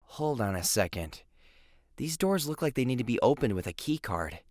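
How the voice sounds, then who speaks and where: A man's voice: mid-range voice, big pitch swings, normal speed, darkish tone, dry recording, lightly acted. Man playing a cool, wisecracking youth, dry recording. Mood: sardonic. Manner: teasing, sardonic, foul-mouthed. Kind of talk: anime-style audio drama acting.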